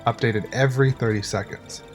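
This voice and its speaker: A man's voice: medium pitch, fairly even intonation, a little fast, natural voice. A man playing a proud, matter-of-fact control-room engineer in an audio drama, explaining with confidence. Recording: music under the voice. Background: music.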